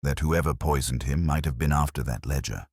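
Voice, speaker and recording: A man voicing an earnest, sharp young police sergeant who talks in a questioning, earnest way, recorded close on a studio mic. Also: extremely low pitch, fairly quick, slightly rough, no echo, exaggerated voice.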